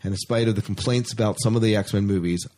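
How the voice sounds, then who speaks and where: A man's voice: on the low side, fairly even intonation, fast, mellow. A man hosting a podcast, talking casually and chattily about sci-fi news with an enthusiastic, informal manner. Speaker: podcast host.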